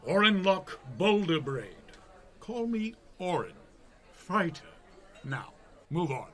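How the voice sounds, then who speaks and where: Man playing a slow, blunt dwarf fighter. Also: high-pitched, sing-song, exaggerated voice. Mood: gruff, impatient. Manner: blunt, terse. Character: gruff, terse, stout grizzled dwarf fighter. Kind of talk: comedy radio play acting.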